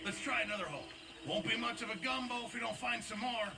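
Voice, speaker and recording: A man's voice: very high-pitched, quick pace, creaky, very noisy. An actor playing a jaunty, boastful older camp cook and former navy man in a video game, theatrical and cajoling. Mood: encouraging. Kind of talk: video game character acting.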